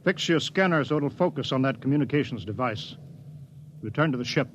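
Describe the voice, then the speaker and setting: An actor playing a cautious, stern starship captain in a 1950s radio drama, giving clipped orders with dry, wary humor. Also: male voice, mid-range voice, expressive, fairly quick, a little hiss or hum, close to an everyday voice. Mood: commanding. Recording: dull lo-fi old radio broadcast.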